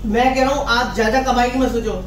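A middle-aged man giving an emphatic, repetitive phone seller's sales pitch to camera. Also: little pitch movement, fast. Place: small indoor shop office. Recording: roomy, distant mic, noisy background.

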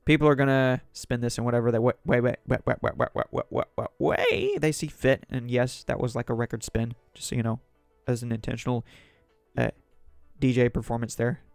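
Solo podcast host, man, going hoarse, rambles through news articles from a desk mic, dry close sound with faint music under. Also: medium pitch, fairly even intonation, fairly quick, dry recording. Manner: rambling, conversational, reads articles aloud. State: sore throat, losing voice. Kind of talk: solo political commentary.

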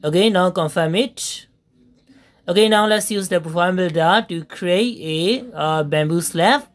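Woman narrating a software tutorial. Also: on the low side. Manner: step-by-step instructions with frequent fillers and pauses. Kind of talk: screen-recorded software tutorial voice-over.

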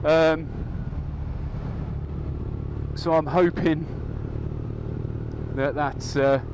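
A young man with a fairly high voice talks to the camera from his motorbike. He rambles cheerfully, with plenty of ums, into a helmet mic. Faint road and engine noise sits under his voice. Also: fairly even intonation, extremely slow. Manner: chatty, rambling, upbeat with filler ums. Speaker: motovlogger. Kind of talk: casual motorcycle vlog. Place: outdoors on a moving motorcycle.

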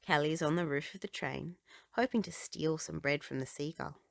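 A woman reading a children's storybook aloud with expressive, dramatic phrasing. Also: low-pitched, moderate pace, creaky, no echo, studio quality. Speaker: children's book reader.

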